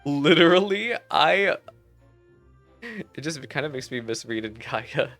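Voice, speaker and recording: A man who streams an online fantasy game, chatting casually and excitably with gushing, teasing commentary. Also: a little high, slow pace, no echo, faint music behind. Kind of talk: casual game commentary mixed with reading dialogue aloud.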